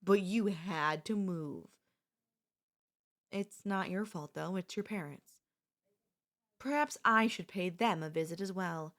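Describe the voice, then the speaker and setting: A young woman voicing an obsessive, possessive childhood friend in an ASMR roleplay, talking softly with a sweet, menacing calm and slipping into whispers. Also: on the low side, slow pace, long pauses.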